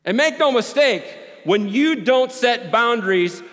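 Big-voiced pastor in a reverberant hall. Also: male voice, very high voice, unhurried. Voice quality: big loud voice. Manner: animated, joking, with rising and falling volume. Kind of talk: sermon.

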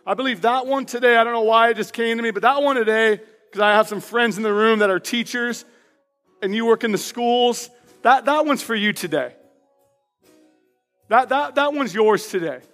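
A man preaching as pastor in a church, with a loud, passionate voice, reading personal declarations aloud with emphasis. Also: extremely high pitch, moderate pace, big-room sound, faint music behind. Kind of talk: sermon. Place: church sanctuary.

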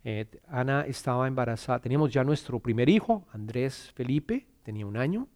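A middle-aged man from Colombia with a Bogotá accent, speaking in church and telling his personal testimony in an earnest, halting way, often repeating words and restarting. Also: medium pitch, expressive, darkish tone.

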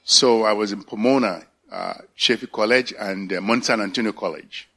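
A man in his sixties with a Nigerian accent, giving a halting personal faith testimony at a church service. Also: on the low side, creaky, a bit bright. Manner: halting, searching for words. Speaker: church elder candidate.

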